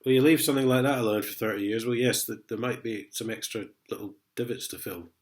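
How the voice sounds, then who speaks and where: A man's voice: mid-range voice, fast talker. A man with a sniffly head cold, chatting in a sarcastic vlog and chuckling as he reads letters aloud. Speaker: vlogger. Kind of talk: casual ranting vlog.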